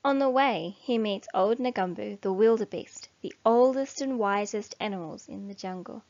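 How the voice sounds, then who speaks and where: A woman reading a children's storybook aloud expressively, with deliberate emphasis. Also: medium pitch, darkish tone, lo-fi sound. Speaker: storybook reader.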